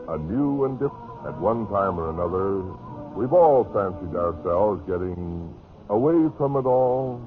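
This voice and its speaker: A man hosting an old-time radio mystery show with scripted, theatrical announcing and measured, drawn-out emphasis. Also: lowish voice, phone-quality sound. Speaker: host and announcer. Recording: band-limited vintage radio broadcast.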